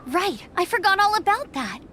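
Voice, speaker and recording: A woman's voice: very high voice, big pitch swings, normal speed, bright, forceful tone, slight background noise, full character voice. A woman playing an earnest, inquisitive young woman in a video game, speaking politely. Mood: earnest, wondering.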